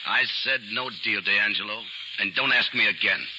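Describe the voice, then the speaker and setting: A curt, defiant crook. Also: male voice, fairly low pitch, moderate pace, bright, forceful tone. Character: sullen tough male crook, tight-lipped. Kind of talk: 1940s radio acting. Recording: muffled 1940s radio broadcast with hiss.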